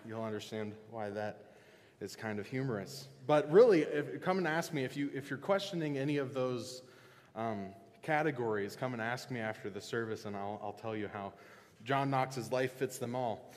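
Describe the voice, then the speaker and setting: A male pastor giving a casual, joking sermon, often restarting and filling pauses with "um". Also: medium pitch. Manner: relaxed, joking, halting with fillers. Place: church sanctuary. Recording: long reverb of a church hall.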